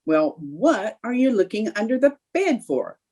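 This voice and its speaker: A woman's voice: on the high side, big pitch swings, a little slow, a little mellow, breathy edge, exaggerated voice. Woman playing a sly, swooping, scatterbrained housewife. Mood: feigned innocence. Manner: theatrical, feigned innocence. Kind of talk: old-time radio comedy acting.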